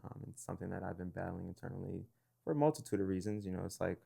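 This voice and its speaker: A young man hosting a casual interview, relaxed and thoughtful, often affirming with quick "yeah"s and pausing with fillers. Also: sits low, gravelly, husky. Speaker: podcast host.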